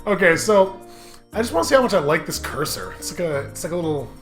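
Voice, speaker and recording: Male let's play host, very lively swings in pitch, jokey and excitable, faint game music behind. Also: fast. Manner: laughs often while talking. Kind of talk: casual comedic let's play commentary. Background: video game music.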